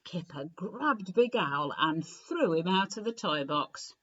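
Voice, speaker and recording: A woman narrates a children's story with a lowish voice and very lively intonation. She is deliberate and unhurried, placing dramatic emphasis on phrases. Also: lo-fi sound. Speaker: story reader.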